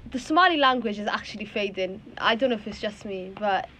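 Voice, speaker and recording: A woman's voice: medium pitch, animated, fairly quick, bright, forceful tone. A young woman, leading a casual group discussion and rephrasing as she goes. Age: young adult. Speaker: discussion host. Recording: clear background noise and room ambience.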